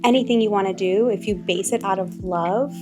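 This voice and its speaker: A woman co-hosting a podcast who asks her guest questions in a warm, encouraging, playful way. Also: mid-range voice, fairly quick, music in the background. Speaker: podcast co-host. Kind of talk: casual interview chat.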